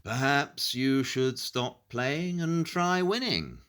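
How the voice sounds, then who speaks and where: An actor playing a brilliant, arrogant Victorian detective in an audio drama, with a superior, dryly witty manner. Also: male voice, mid-range voice, expressive, takes it slowly, noisy background. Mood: dry, teasing.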